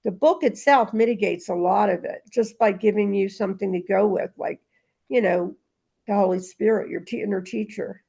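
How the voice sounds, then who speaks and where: Woman with gravelly creaky voice, chatting about spiritual stuff, rambly and emphatic, mid pitch. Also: moderate pace, dark tone. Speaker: reading group leader. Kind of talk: casual spiritual discussion with read-aloud passages.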